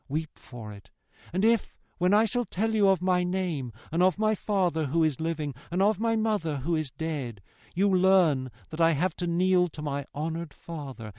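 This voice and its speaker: A man voicing a gentle young daughter in an audiobook, tender and pleading with impassioned, repeated cadences. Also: on the high side, clear, resonant tone, no room sound, studio quality, pitched above the performer's own voice. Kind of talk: dramatic audiobook character reading.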